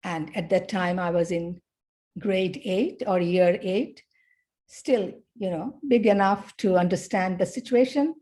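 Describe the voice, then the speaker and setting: A middle-aged woman from Bangladesh giving a conference talk, measured and pausing between phrases. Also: lowish voice, mellow. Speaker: academic speaker.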